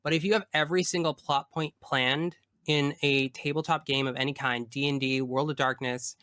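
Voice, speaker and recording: A man talks casually as an interview guest, with a bright, smooth voice. He is expressive and emphatic, full of enthusiasm. The sound is dry and close, without room echo. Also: mid-range voice, moderate pace. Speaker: tabletop game master. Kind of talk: casual interview chat about personal experience.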